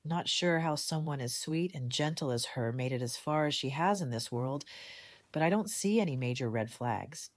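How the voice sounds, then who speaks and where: A woman playing a composed, decisive community leader in an audio drama, speaking in a measured, diplomatic way. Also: low voice, expressive, no room sound. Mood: thoughtful, assured. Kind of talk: fan audio drama dialogue.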